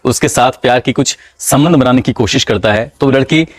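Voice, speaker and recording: A man narrating a true-crime story in a measured, dramatic storytelling manner. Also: mid-range voice, dry recording.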